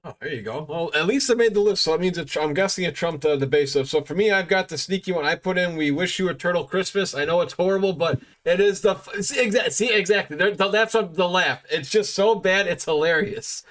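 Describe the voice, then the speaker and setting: High-voiced chatty male podcast host. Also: brisk, few pauses, fairly bright tone. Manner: enthusiastic, chatty with fillers and restarts. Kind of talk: casual podcast chat.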